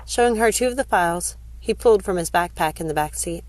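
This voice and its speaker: A woman's voice: lowish voice, brisk, darkish tone, slight background noise. A woman narrating a novel aloud as an audiobook reader. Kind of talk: story reading.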